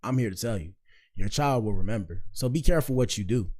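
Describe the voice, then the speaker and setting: A man's voice: lowish voice, expressive, fast talker, darkish tone. A man hosting a livestream with casual, slangy reaction commentary, swearing and repeating himself for emphasis. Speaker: livestream show host.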